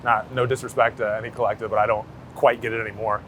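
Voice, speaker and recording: A man's voice: fairly low pitch, flat delivery, fast, a bit bright. A man talking casually and informally as a street photographer being interviewed, with slangy, sweary phrasing. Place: outdoors on a city street. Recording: outdoor city street noise, traffic.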